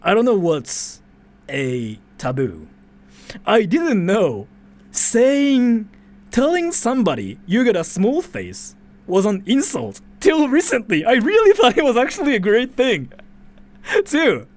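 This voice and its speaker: A man's voice: huge pitch swings, slow. A Japanese man speaking English as a second language with a Japanese accent, chatting casually as a streamer reacting to a video, rambling with many fillers. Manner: rambling, lots of filler "like", drifting pitch.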